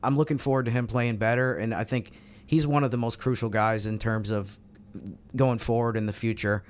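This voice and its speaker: A man's voice: mid-range voice, steady tone, a little fast. A man hosting a sports podcast, talking through analysis in a conversational way. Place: studio roundtable.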